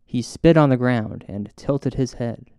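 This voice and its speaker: A man hosting and narrating a spooky comedy as a paranormal investigator, mock-serious and deliberate in his storytelling. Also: medium pitch, warm tone, clear, resonant tone, no room sound. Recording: music bed under narration. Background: music.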